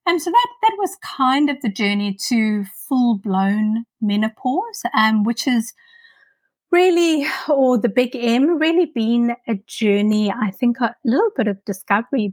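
A woman's voice: a little high, no echo. South African woman speaking from a distance. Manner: thoughtful, many pauses and fillers. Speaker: podcast guest. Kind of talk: casual interview.

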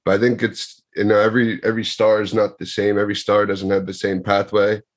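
Low-voiced teasing man. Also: level tone, a little fast. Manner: playful teasing banter. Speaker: podcast co-host. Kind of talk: casual sports chat.

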